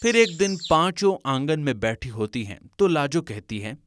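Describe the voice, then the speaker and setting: A man reading scripted narration for an animated family story as its storyteller. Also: a little high, moderate pace.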